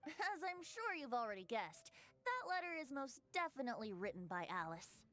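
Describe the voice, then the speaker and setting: A woman voicing a playful, teasing young male bard in an animated video game, with a lilting, theatrical delivery. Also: high voice, moderate pace, faint music behind.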